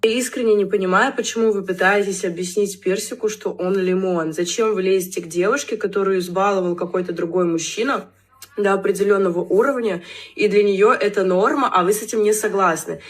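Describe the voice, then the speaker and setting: A woman with a husky, smoky voice delivering a confident, matter-of-fact lecture straight to the listener. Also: mid-range voice, a little fast. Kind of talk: lecturing monologue to men.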